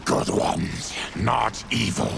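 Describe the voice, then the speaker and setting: A man voicing a stern, honourable monstrous warrior in a video game, terse and blunt, with a growling, gravelly voice. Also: lowish voice, takes it slowly, noisy background, heavily acted. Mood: solemn. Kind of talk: video game cutscene acting. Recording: loud game music behind the voice.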